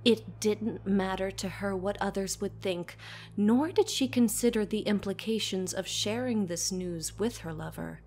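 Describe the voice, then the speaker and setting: A woman narrating a scripted horror story as a storyteller, dramatic and deliberate, dropping at times toward a whisper. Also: medium pitch, a little mellow, slight background noise.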